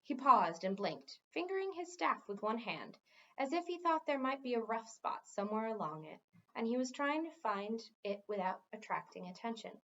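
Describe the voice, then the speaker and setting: A woman's voice: a little high, big pitch swings, normal speed, highs cut off. A woman reading a storybook aloud expressively, as a teacher does for listeners.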